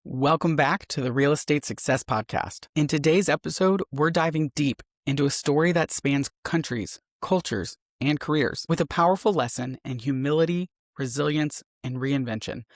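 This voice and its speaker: A man's voice: a little high, normal speed, hardly any pauses, no room sound, studio quality. A man hosting a podcast, reading a scripted introduction and then chatting enthusiastically with his guest. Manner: upbeat, enthusiastic interviewer. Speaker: podcast host.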